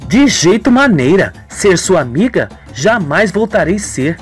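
A woman's voice: lowish voice, sing-song, measured pace, faint music behind, pitched above the performer's own voice. A woman voicing a suspicious young woman in a radio soap, pointed and confrontational. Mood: accusing. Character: accusing, suspicious young woman, former friend of villain.

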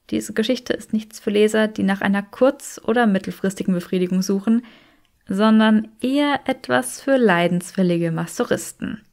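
Woman reading a story out loud like an audiobook, normal pitched voice. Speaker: audiobook narrator. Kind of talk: scripted fan fiction narration.

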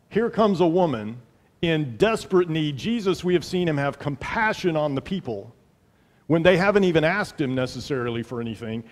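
A middle-aged male pastor preaching with earnest emphasis, his voice sitting a little high for a man. He leans on repeated phrases to drive a point home. A headset mic catches him, with a long reverb trailing off the church hall. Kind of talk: sermon. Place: large church sanctuary, at a pulpit.

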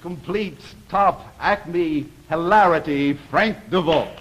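A man's voice: fairly high pitch, slow pace. An American man in his thirties hosting a live 1950s television game show with ad-libbed introductions. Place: TV studio living-room set. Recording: 1950s TV broadcast, studio crowd noise.

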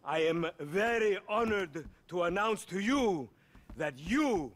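Oily, animated car dealer. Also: male voice, high voice, slow, exaggerated voice. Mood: effusive. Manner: effusive, formal phrasing, melodramatic. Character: oily, theatrical middle-aged male car dealer. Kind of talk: video game cutscene acting.

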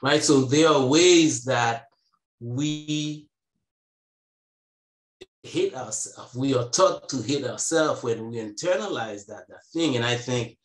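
A man in his thirties on a video call from home, speaking slowly and reflectively with frequent pauses. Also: medium pitch, echoey room, low-quality recording. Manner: slow and reflective, hesitant fillers. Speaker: panelist. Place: home room. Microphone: earbuds on video call.

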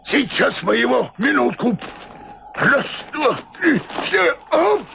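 High-pitched plucky cartoon boxer bear. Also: male voice, very animated, a little slow, creaky, heavily acted. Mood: eager, straining. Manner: emphatic, exclamatory. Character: plucky big boxer bear, sporty and stubborn. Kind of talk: animated film dub in Russian. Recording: noisy dull old film soundtrack.

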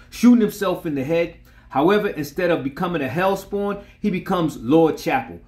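A middle-aged man hosting a comic book channel, narrating and commenting on character history. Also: on the high side.

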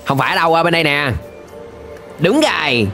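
A young man with a southern Vietnamese accent reacts casually and excitedly to a cartoon. His pitch jumps around freely, and he talks close to the mic with some music underneath. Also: normal speed. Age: young adult. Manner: animated, exclaiming. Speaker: reaction streamer. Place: indoor streaming room. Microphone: close desk microphone, headset on. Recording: music playing behind the voice.

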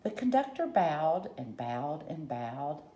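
A woman reading a children's picture book aloud in short, deliberate rhyming phrases, recorded at a table at home. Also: deep voice, big pitch swings, dark tone. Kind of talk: read-aloud storytelling. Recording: roomy echo, faint background noise.

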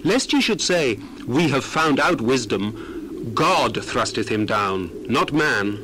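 A man's voice: mid-range voice, big pitch swings, takes it slowly, background noise. An actor playing an earnest, indignant young man of ancient times, declaiming solemnly in a dramatized scripture reading. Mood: earnest, restrained indignation. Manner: declamatory, solemn, archaic phrasing.

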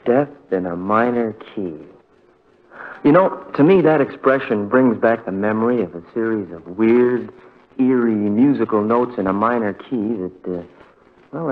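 An actor playing a haunted, heartbroken young man, telling his story with halting, conversational pauses in 1940s radio drama style. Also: medium pitch, lightly acted. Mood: uneasy, brooding. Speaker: narrator character. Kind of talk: 1940s radio drama first-person narration. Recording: muffled vintage radio broadcast with music.

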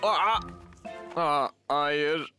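A man's voice: fairly high pitch, drawn-out words, bright, forceful tone, exaggerated voice. Chummy, hapless guy over music. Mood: helpful, flustered. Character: friendly, hapless young man, helpful mate. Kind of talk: animated cartoon dub. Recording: music behind the voice.

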